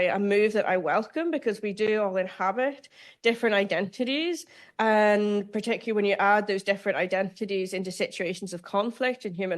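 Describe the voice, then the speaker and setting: Northern Irish woman giving a lecture. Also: mid-range voice. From Northern Ireland. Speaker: guest speaker, law professor. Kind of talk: academic lecture.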